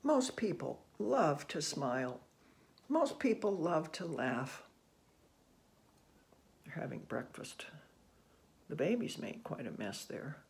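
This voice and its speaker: An older person reading a picture book aloud to children, gently and unhurriedly, with musing asides. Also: medium pitch, very animated, vocal fry. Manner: gentle, unhurried, musing with soft hmms and ohs. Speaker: children's read-aloud host. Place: small room indoors at home.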